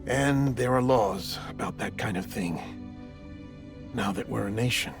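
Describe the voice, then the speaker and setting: A gentle official, gravelly and hushed. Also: male voice, fairly low pitch, unhurried. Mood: somber, hinting. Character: melancholy, gentle male medical official, quietly conspiratorial. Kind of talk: video game cutscene acting. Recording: music behind the voice.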